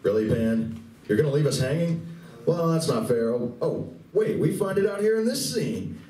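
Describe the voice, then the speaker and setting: A man's voice: fairly high pitch, very animated, moderate pace, dark tone, faint music behind. A man narrating a comedy radio play live, reading the script expressively with wry asides. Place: live convention event room. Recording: roomy, distant live recording, faint noise.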